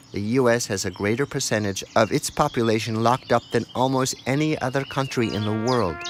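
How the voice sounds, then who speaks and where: An American man reading a first-person scripted documentary narration. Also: medium pitch. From United States. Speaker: narrator, radio documentary author. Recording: clear outdoor and car background noise.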